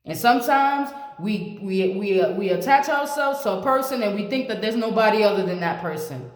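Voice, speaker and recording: A woman's voice: mid-range voice, lively intonation, moderate pace. A woman in her late twenties talks straight to the camera, blunt and preachy, circling back over the same points. Her voice sits in a middle register. The room rings with a long, echoey reverb. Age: 20s. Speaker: vlogger. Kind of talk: motivational talk to camera.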